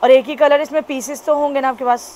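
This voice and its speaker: A bright, high-voiced woman with a Karachi Urdu accent hosts a shopping vlog. She talks with gushing enthusiasm, repeating prices and praise as she moves through a busy clothing shop. She records on a phone held close. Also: steady tone, fast. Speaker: shopping vlogger. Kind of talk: upbeat shop-tour vlog.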